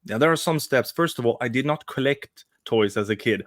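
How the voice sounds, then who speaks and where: A man's voice: mid-range voice, expressive, a little fast, a bit bright, no echo, studio quality. A man in his forties, a guest on a live stream, chatting casually with frequent restarts and hesitations. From Sweden. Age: 40s. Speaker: guest, toy customizer.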